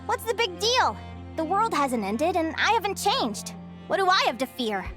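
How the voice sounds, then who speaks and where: A woman voicing a plucky, thoughtful young female adventurer in a video game, earnest and expressive. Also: extremely high pitch, normal speed. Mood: reflective, resolute. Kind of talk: video game voice acting, dialogue. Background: faint game music.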